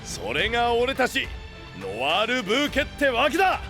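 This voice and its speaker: Rough, animated young leader. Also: male voice, very high-pitched, moderate pace, music in the background, heavily acted. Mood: earnest. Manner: blunt, slangy delinquent-style speech. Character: rough, hot-blooded, big-hearted young male knight leader. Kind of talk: Japanese anime-style voice drama acting.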